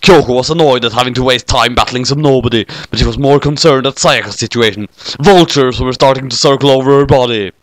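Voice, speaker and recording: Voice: medium pitch, fast, no room sound. A narrator reading a scripted comic parody story.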